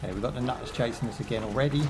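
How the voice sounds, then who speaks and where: A man's voice: mid-range voice, racing, music in the background, low-quality recording. A young British man chatting casually while he plays a game live, rambling through jokes, asides and fillers. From United Kingdom. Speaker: gaming streamer. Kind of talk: casual live gameplay commentary.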